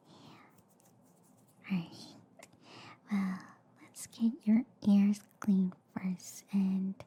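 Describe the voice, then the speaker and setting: Woman as alien girl doctor for ASMR roleplay: very mellow breathy whisper, slow, reassuring, slightly shady. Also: mid-range voice, close to an everyday voice. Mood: soothing, reassuring. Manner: soothing, hesitant, repeats reassurances softly. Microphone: close ASMR microphone. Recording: faint background noise, close-up ASMR.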